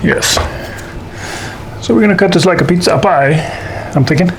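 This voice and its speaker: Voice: mid-range voice, moderate pace, noisy background. A folksy, jokey host casually talking through a baking demonstration to camera. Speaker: host of a paranormal show doing a baking segment.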